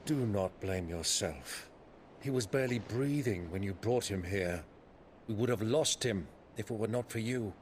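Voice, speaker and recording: A man's voice: lowish voice, normal speed, slight background noise, lightly acted. A man acting a kindly, courtly older noble uncle in a video game, formal and archaic. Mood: concerned. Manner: formal, archaic, gently expressive.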